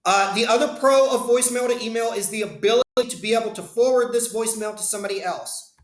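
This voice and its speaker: A man's voice: high voice, quick pace, reverberant. A man walking viewers through a screen-share demo of voicemail to email, explaining in a conversational way and speaking to them directly. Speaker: business phone system consultant. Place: indoor room, webcam inset.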